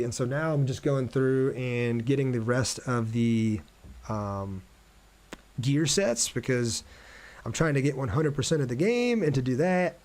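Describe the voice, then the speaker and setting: Male game streamer, mid-pitched voice, casual play-by-play, thinks aloud and talks to viewers. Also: a little mellow. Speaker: livestream gamer, host. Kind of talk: casual livestream gameplay commentary.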